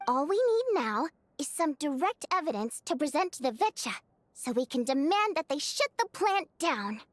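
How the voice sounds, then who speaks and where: A high, sing-song young rebel leader in a video game, bouncing all over her range as she briskly lays out a plan. She sounds confident and a little scheming. The recording is close and dry, with no room sound. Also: big pitch swings, no echo. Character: upbeat young woman leading an underground group. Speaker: game character. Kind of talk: voiced video game dialogue.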